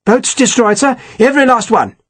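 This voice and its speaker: A man's voice: very high-pitched, normal speed, fairly bright tone, breathy edge, dry recording, voice pushed up, heavily acted. A man voicing a fussy, indignant army captain who complains and keeps saying sir. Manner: prim, complaining, sticks "sir" on everything.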